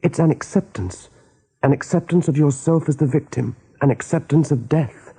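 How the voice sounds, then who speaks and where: A man narrating a radio play as a dramatic storyteller, with a mellow, husky voice. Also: on the high side, normal speed, poor audio.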